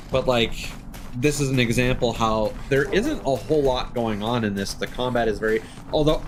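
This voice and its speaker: A man doing casual live game commentary, chatting with viewers and rambling as he thinks aloud. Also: on the low side. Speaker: live streamer playing a video game.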